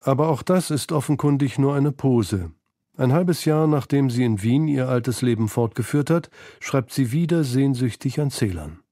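A man narrating a scripted literary radio feature in a measured manner. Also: mid-range voice, lively intonation, warm tone, no room sound, studio quality.

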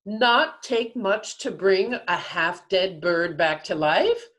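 An annoyed storybook goddess of springtime, acted out by a woman, speaks with exasperation and disbelief. Her pitch is animated and she sounds put upon by her work. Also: slow. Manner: exasperated, incredulous.

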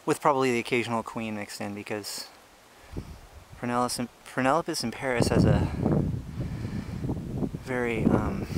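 A young man casually narrating a hiking vlog outdoors on a trail, explaining things in a relaxed, chatty way with many fillers, recorded on the handheld camera he films with. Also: medium pitch, normal speed, long pauses, background noise. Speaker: ant-keeping channel host. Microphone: handheld camera he is filming with.

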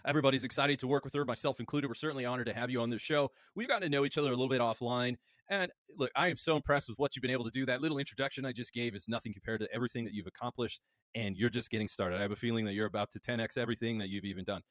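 A man in his forties hosting an interview podcast from a home office, giving upbeat, polished introductions into a studio mic on a boom arm. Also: rapid-fire, a bit bright, no room sound. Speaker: podcast host. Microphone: studio mic on boom arm, headphones.

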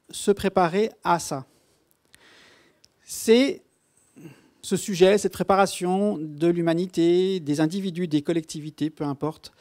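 A man's voice: high-pitched, a little mellow. An older man hosting a conference, introducing a speaker in an emphatic, fluent talk on a hall stage with a handheld mic. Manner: fluent with some fillers, emphatic. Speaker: festival host.

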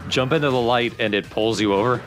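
A man's voice: mid-range voice, quick pace, fairly bright tone. A man giving casual commentary while playing a video game. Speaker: let's play commentator and player. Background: game music.

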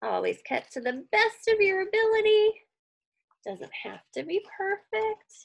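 A woman's voice: very high-pitched, sing-song, measured pace, creaky. A woman giving a casual step-by-step craft tutorial for children, chatting and thinking aloud in a reassuring way. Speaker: craft teacher. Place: indoor room at home.

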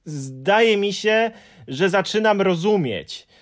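A man's voice: sits high, lively intonation, takes it slowly, voice pushed up. A man voicing a kind, thoughtful young male troll with an earnest, helpful manner in an audiobook. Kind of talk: audiobook character voice.

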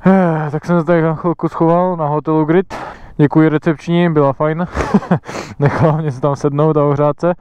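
Young man, from the Czech Republic, motovlog chatter, frequent laughter, casual swearing, slightly high voice, muffled lo-fi helmet mic. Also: little pitch movement, hardly any pauses, darkish tone, smooth, no room sound. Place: outdoors riding an electric motorbike. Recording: dull lo-fi sound.

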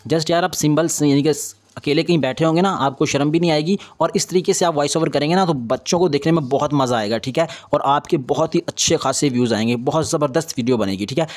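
A man's voice: a little high, quick pace, few pauses, a little mellow. A man hosting an informal step-by-step screen tutorial, instructing with frequent repeated confirming tags. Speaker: video editing tutorial host.

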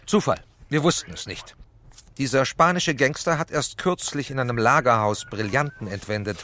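A no-nonsense SWAT chief acted by a man in a children's audio play. He speaks at an ordinary male pitch in clipped, formal commands. There is slight noise behind the voice. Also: moderate pace, pushed and bright, natural voice. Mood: businesslike. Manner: clipped military jargon, terse and formal. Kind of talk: children's audio drama acting.

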